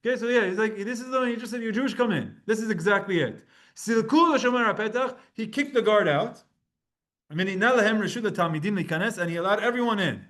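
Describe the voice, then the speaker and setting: A man with a fairly high voice teaches a Talmud class in an easy, conversational way. He weaves Hebrew and Aramaic terms into casual English. He acts out the quotes with sudden leaps in pitch and volume. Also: lively intonation, quick pace, a bit bright. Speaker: Torah teacher. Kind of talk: lively Talmud class lecture.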